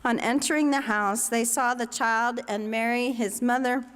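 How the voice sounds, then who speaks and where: A woman reads scripture to a congregation in a solemn, measured and deliberate way. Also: fairly high pitch, fairly even intonation, fairly bright tone. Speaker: scripture reader at a church service. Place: inside a church sanctuary. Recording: long reverberant church hall.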